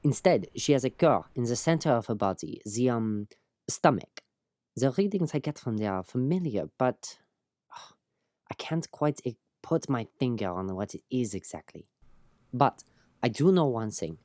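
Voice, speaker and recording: Actress as calm ship's doctor, extremely deep for a woman, clinical, measured, close dry sound, audio drama. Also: no room sound. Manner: measured, hesitant word-searching.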